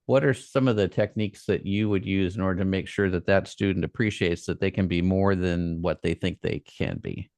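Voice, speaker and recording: A man's voice: sits low, brisk, gravelly, hardly any pauses, a little mellow, no room sound. A man hosting a podcast interview, asking questions in a conversational, encouraging way. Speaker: podcast host.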